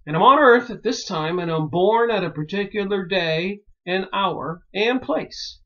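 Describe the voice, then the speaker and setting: A man from Pennsylvania musing aloud in a rambling solo monologue, pausing often and filling gaps with "uh". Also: high-pitched, expressive. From Pennsylvania, United States. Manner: slow and musing, many pauses and fillers. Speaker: video commentator. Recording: dull lo-fi recording.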